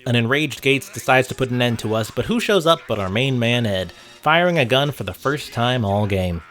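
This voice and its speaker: A man's voice: medium pitch, lively intonation, normal speed, over music. A man narrating a casual video game essay with wry, sarcastic commentary and casual swearing. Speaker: host of a video game review channel.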